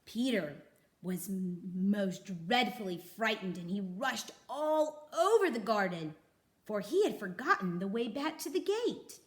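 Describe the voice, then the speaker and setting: Slow, animated woman reading a children's story. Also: mid-range voice. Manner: deliberate, with dramatic pauses for young listeners. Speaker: storybook reader. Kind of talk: expressive read-aloud of a children's story.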